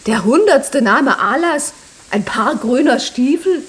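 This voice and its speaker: An actress playing a thoughtful woman, musing and quoting slowly in a 1950s radio drama. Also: high voice, lively intonation, slight background noise. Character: Fatime, thoughtful woman recalling a prophet.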